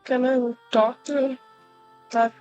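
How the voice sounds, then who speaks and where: A woman's voice: high voice, flat delivery, slow pace, darkish tone, lo-fi sound. A woman streamer reacting casually to a video game in brief murmured remarks. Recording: game music behind the voice. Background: video game audio and music.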